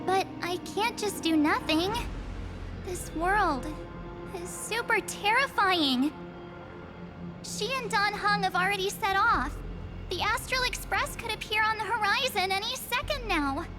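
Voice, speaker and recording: A woman's voice: animated, measured pace, over music. An actress voicing an earnest young heroine who is worried for her friends, speaking in an emphatic, pleading way. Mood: anxious. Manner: pleading and emphatic, voice rising high. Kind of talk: video game cutscene voice acting.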